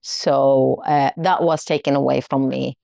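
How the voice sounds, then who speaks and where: A woman's voice: rumbling low, steady tone, unhurried, gravelly, mellow, dry recording. A woman from Finland who was raised in Kuwait, telling her life story in an interview, reflective and searching for her words.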